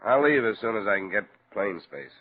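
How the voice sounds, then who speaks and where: A man's voice: on the low side, lively intonation, a little fast, slight background noise, close to an everyday voice. A man playing a hard-boiled insurance investigator with an American accent in a 1950s radio detective drama, clipped and matter-of-fact. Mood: terse, sober. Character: hard-boiled male freelance insurance investigator, brisk, unsentimental. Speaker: lead actor and narrator. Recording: muffled vintage radio broadcast recording.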